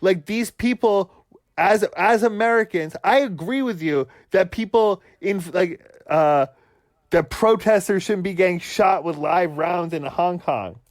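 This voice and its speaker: A man co-hosting a sports talk podcast who argues heatedly and swears constantly, ranting at his brother with forceful conviction. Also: high-pitched, a little slow, no echo. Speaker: podcast co-host.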